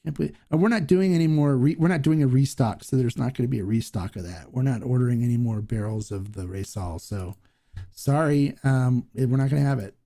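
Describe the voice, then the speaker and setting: A man hosting a casual live Q&A, reading viewer comments aloud and answering in a rambling, halting way. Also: medium pitch, dark tone. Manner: rambling, halting, many restarts and fillers. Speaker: host of a live Q&A stream.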